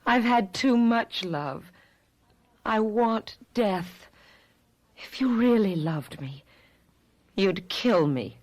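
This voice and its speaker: A woman playing a world-weary wife who is forever eighteen, in melodramatic, halting radio drama style. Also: medium pitch, animated. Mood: despairing, pleading. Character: ageless eternal eighteen-year-old wife, world-weary, melodramatic, sorrowful.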